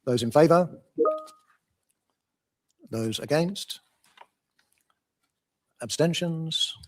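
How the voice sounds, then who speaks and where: A man's voice: fairly high pitch, drawn-out words. A man chairing a council meeting, hesitantly working through procedure in the chamber.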